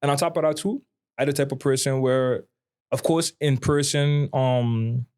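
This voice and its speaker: A man's voice: medium pitch, normal speed, a little mellow, clean tone. A man from Saint Martin with a Caribbean accent, chatting casually and reflectively in informal slang as a podcast co-host. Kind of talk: casual conversation.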